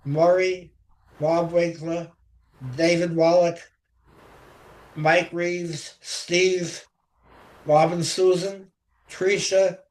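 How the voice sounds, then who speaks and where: Guy running a zoom song circle, slow and halting, lots of uhs, a bit high for a man. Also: darkish tone, rough recording. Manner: slow, halting, reads out a list with many fillers. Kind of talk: casual online meeting chat. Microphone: video call.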